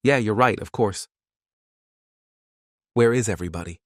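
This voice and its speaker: A man's voice: lowish voice, normal speed, no echo, studio quality, natural voice. A man playing an anxious, weary survivor in an audio comic, sounding uneasy and subdued. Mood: shaken. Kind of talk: audio comic dramatic acting.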